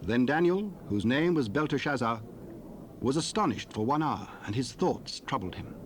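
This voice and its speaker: Man reading scripture slow and solemn, tape hiss behind. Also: mid-range voice, lively intonation. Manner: measured, solemn narration. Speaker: narrator.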